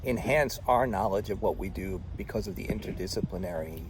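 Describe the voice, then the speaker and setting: A man hosting an interview outdoors in a garden, talking in a rambling, animated way. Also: lowish voice, fairly quick, noisy background. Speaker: show host. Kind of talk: conversational interview.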